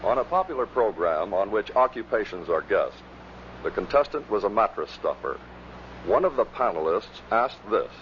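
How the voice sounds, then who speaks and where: A man's voice: fairly low pitch, sing-song, normal speed. A man giving scripted narration as the presenter of a compilation. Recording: muffled, noisy vintage radio recording.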